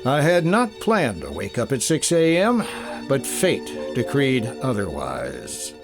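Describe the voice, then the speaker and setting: An actor playing a wry, breezy freelance insurance investigator, narrating in clipped hardboiled 1950s radio style. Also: male voice, medium pitch, huge pitch swings, slow, vocal fry, over music, full character voice. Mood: wry, suspicious.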